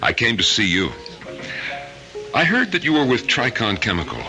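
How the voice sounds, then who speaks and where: An actor playing a steady, protective middle-aged friend in an old-time radio drama. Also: male voice, mid-range voice, moderate pace, music in the background. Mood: genial, probing.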